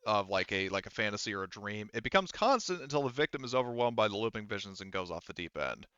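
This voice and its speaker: A man running a tabletop roleplaying game as game master, explaining the scene in halting, unscripted narration. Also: lowish voice, animated, fairly bright tone, clear, resonant tone, dry recording, highs cut off.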